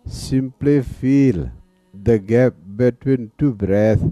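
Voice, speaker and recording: A man with a very dark, mellow voice leads a guided meditation. He speaks slowly and calmly, pausing often. The sound is a little dull and lo-fi. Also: medium pitch, no echo. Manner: calm, with long pauses. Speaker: meditation teacher. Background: soft music.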